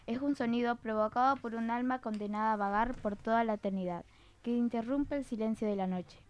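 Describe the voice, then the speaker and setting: A woman's voice: a little high, brisk, muffled recording. A girl from Venezuela, a fifth grader, reading a legend aloud on a school radio show. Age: child, about 10. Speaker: student storyteller.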